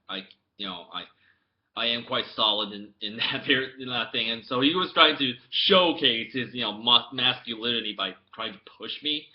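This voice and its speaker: A young man from the United States, chatting casually to his camera as a vlogger. He tells his story in a rambling way with many restarts, and it swings from mumbled asides to loud outbursts. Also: fairly low pitch, muffled recording. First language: English. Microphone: laptop webcam mic.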